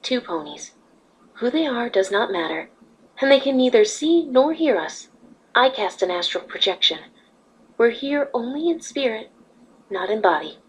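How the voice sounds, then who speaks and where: A woman playing a calm, patient, regal winged unicorn ruler, composed, formal and gently persuasive, in an amateur fan fiction drama. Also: fairly high pitch, moderate pace, slightly rough, low-quality recording. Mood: patient, instructive.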